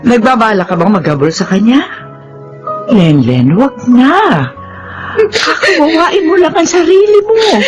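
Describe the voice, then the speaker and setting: A woman's voice: fairly high pitch, big pitch swings, unhurried, faint music behind. A woman playing an exasperated middle-aged mother in a melodrama, questioning and reproaching emphatically. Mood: shocked, reproachful. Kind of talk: melodramatic drama acting.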